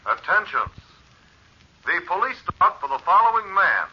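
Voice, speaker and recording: An actor playing a formal, declamatory announcer reading a police bulletin through a radio speaker in a vintage drama. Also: male voice, high voice, sing-song, normal speed, slight background noise. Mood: urgent, official. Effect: radio speaker filter. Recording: dull, narrow old radio sound.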